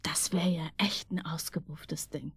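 An actress playing a shrewd, casual police colleague in a radio drama, speaking in a colloquial, speculative way. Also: female voice, low-pitched, steady tone, unhurried, a bit bright, slightly rough, dry recording, whispered, studio quality, full character voice. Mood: speculating. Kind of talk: naturalistic German radio drama acting.

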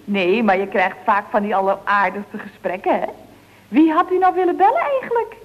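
An actress playing a chatty, scatterbrained young telephonist in an old radio comedy, chirpy and rambling. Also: female voice, medium pitch, lively intonation, moderate pace, natural voice. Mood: chatty, helpful. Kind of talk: 1970s radio comedy acting. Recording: dull vintage radio recording.

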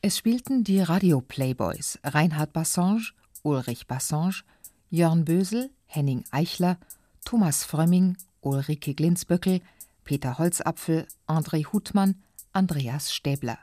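A woman announcer calmly reading the credits of a radio play. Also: low-pitched, a little slow, no echo, clean studio sound. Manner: calm, even credit reading.

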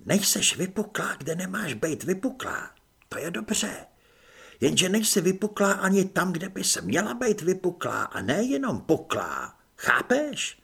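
A man voicing a hoarse, patient stranger who speaks from the darkness, partly in whispers. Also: sits high, sing-song, a bit bright, pitched above the performer's own voice, exaggerated voice. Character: hoarse mysterious man hiding in the dark.

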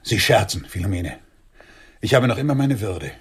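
A man's voice: fairly low pitch, animated, moderate pace, breathy edge. An actor in a radio play playing a shabby, bashful male drifter who speaks formally and politely, clinging to his dignity. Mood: embarrassed, dignified.

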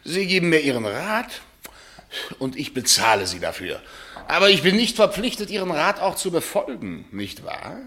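Sardonic, haughty gentleman, swooping intonation. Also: a little high, sing-song, a little hiss or hum, exaggerated voice. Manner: sardonic, condescending, deliberate pauses. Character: haughty, suspicious rich English husband, a titled gentleman. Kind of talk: German radio crime drama acting.

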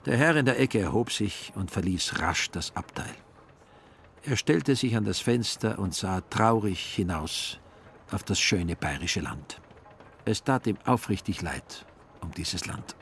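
Low-voiced male radio play narrator. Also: expressive, unhurried, a bit bright, slight background noise.

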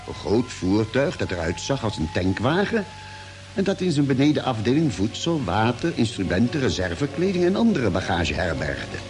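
A man acting as a space expedition member, narrating the journey in measured, deliberate old radio drama style. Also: fairly low pitch.

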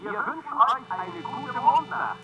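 A man's voice: very high voice, lively intonation, a little slow. An actor playing a joking male radio operator on Earth in a radio play, speaking to the moon crew in a teasing way. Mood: jovial. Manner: jovial, teasing. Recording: dull radio-link sound with music.

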